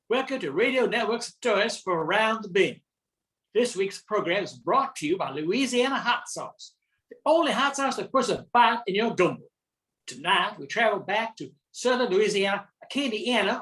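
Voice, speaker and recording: A woman's voice: a little high, fairly bright tone, rough recording. An older woman playing a folksy narrator of bayou tales in a scripted radio drama.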